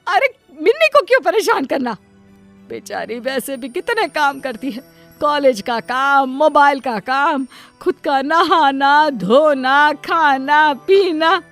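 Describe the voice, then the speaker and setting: A doting, self-sacrificing cartoon mother, put on by a woman, who wails and pleads in a high, bright voice. She keeps excusing her spoiled daughter, with soft music faintly behind her. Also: lively intonation, slow, full character voice. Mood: indulgent. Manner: wailing, pleading, indulgent toward her daughter. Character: aching tearful middle-aged mother, self-sacrificing. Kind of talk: cartoon storybook voice acting.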